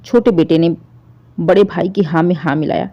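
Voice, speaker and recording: A woman's voice: on the low side, normal speed, warm tone. A woman storyteller reading a scripted, emotional moral story as narrator. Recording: dull, muffled lo-fi audio.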